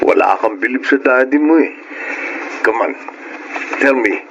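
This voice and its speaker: A man's voice: mid-range voice, measured pace, dull audio, noisy background, close to an everyday voice. An actor playing a widowed young father who speaks tenderly and laughs nervously, narrating his own story in a radio drama.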